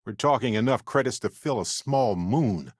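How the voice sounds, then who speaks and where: An actor playing a warm, wry, chatty space explorer companion in a video game, with an affable, teasing and theatrical delivery. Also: male voice, mid-range voice, lively intonation, moderate pace, husky, dry recording, clean studio sound. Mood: musing, playful.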